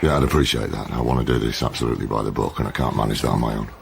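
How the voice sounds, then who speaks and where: An actor playing a brusque, exasperated police detective in a radio drama, blunt and impatient. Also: male voice, a bass voice, very fast, creaky, full character voice. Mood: brisk, impatient. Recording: noisy ambient background.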